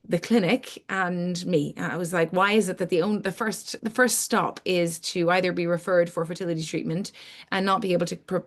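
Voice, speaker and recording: A middle-aged woman hosting an informal webinar, explaining things in long, flowing sentences with lists of points. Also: on the low side. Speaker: webinar host, academic scientist.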